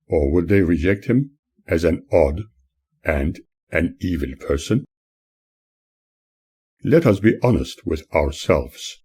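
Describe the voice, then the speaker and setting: A man reading scripted audiobook-style narration in a measured, earnest, oratorical way. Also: deep voice, darkish tone, slightly rough. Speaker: author reading own book.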